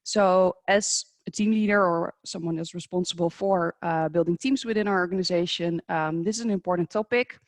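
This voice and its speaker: A woman with a Dutch accent presents at an online conference, speaking in a measured, slightly hesitant way and pausing often on fillers. Her voice sits a little low. The sound is dry and close, as on a remote call. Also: no room sound. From Netherlands. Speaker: presenter at a professional webinar. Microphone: remote video call.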